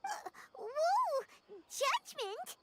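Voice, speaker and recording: A woman voicing a tiny, excitable fairy companion girl in a video game, with a squeaky, childlike voice and exclamatory delivery. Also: very slow, very noisy, heavily acted. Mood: startled, nervous. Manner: exclamatory, refers to self in third person.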